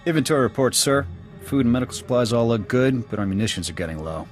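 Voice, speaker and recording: An actor playing a gruff, proud alien military officer in a video game, with an American accent and a blunt, clipped military delivery. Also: male voice, medium pitch, animated, fast, lightly acted. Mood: matter-of-fact, resolute. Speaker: soldier serving as second to his commander. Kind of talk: video game dialogue acting. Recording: background music under the dialogue.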